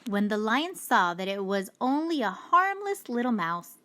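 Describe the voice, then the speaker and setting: A woman reads a children's story aloud in a bright, somewhat high voice. Her pace is slow and deliberate, and her storytelling is expressive. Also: a little hiss or hum. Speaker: storybook reader. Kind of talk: children's read-aloud narration.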